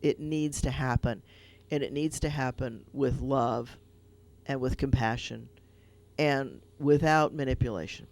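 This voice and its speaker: A man with a gravelly, creaky voice of middle pitch hosts a radio talk show as a rabbi. He tells a children's fable with lively, animated delivery and chats casually with his guests. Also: measured pace. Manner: animated storyteller, retelling a fable. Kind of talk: casual radio conversation and storytelling.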